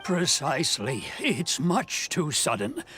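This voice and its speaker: An actor playing a concerned, thoughtful village man in a video game, speaking earnestly and deliberately. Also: a little high, wildly swooping pitch, sharp tone, full character voice. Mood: troubled, earnest. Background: background game music.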